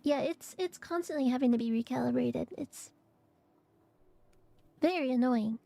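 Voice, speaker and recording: A young woman streamer chatting casually and rambling with fillers, her voice a little hoarse and raspy from allergies. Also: sits high, moderate pace, mellow, no echo. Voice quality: raspy, phlegmy throat. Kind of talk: casual chat to stream viewers.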